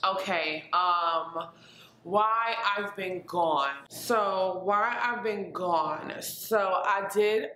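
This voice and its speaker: A woman's voice: mid-range voice, drawn-out words, bright, forceful tone, roomy sound, slight background noise, low-quality recording. A woman in her twenties from New York, chatting casually in a vlog with fillers and restarts. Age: 20s. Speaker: vlogger. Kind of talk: casual life-update vlog. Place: her apartment.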